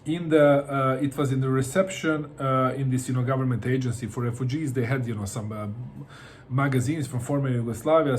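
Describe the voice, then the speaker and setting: A man's voice: medium pitch, a little mellow, a little hiss or hum. Hesitant middle-aged man from Bosnia. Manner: hesitant, many fillers and repeated words. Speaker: book vlogger. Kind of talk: casual talk to camera.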